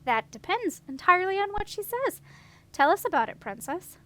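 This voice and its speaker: A woman voicing a composed, commanding female dragon monarch with a mild, measured, dryly amused manner. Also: very high voice, big pitch swings, sharp tone, voice pushed up, heavily acted. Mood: dryly regal.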